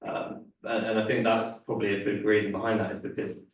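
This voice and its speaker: A man's voice: fairly low pitch, level tone, a little fast. A young man giving a seminar talk, hesitant with many fillers and restarts, losing his voice a little. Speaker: guest speaker. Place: lecture room. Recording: echoey room, speaker far from mic.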